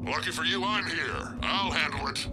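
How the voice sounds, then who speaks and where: A hulking alien krogan warlord, voiced by a man, gruff and boastful with a fairly high-set growl. He speaks bluntly to his allies. A loud game score plays underneath. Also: normal speed, loud music behind the voice, lightly acted. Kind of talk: video game cutscene acting.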